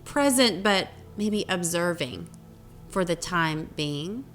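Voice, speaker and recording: A woman leading a guided meditation as a teacher, speaking gently in short phrases with long pauses between them. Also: fairly low pitch, expressive. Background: meditation music.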